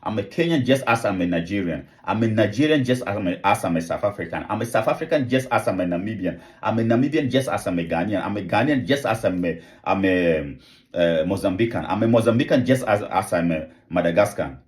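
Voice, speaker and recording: A man's voice: on the low side, animated, quick pace. A man giving an impassioned rallying speech to camera, full of repeated rhetorical questions and calls to rise up. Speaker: activist channel host.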